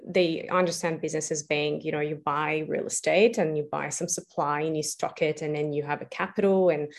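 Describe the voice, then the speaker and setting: Young adult woman, lowish voice, interview guest, reflective and full of fillers. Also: steady tone, fairly quick. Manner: reflective, many fillers and restarts. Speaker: guest, entrepreneur. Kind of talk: casual interview answers.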